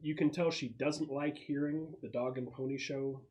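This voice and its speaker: A man's voice: a little high, fairly even intonation, moderate pace, darkish tone. A man acting as game master, narrating a tabletop roleplaying game in a conversational, explanatory way and thinking aloud as he goes.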